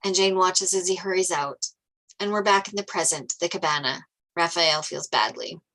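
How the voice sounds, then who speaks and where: A woman's voice: fairly low pitch, moderate pace, sharp tone, poor audio. A woman reading screenplay stage directions aloud as scripted narration.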